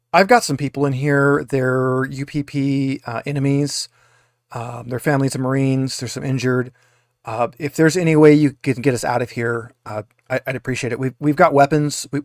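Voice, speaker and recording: A man's voice: medium pitch, steady tone, moderate pace, no room sound, clean studio sound, natural voice. A man voicing a flustered, pleading female android survivor who speaks hesitantly and repeats words. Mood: reluctant, pleading.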